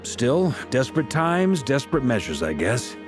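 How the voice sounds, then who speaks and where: A man's voice: mid-range voice, big pitch swings, normal speed, over music, close to an everyday voice. A man playing a jovial, easygoing veteran knight commander with a folksy, teasing manner in a video game. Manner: folksy, jocular. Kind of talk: scripted video game dialogue.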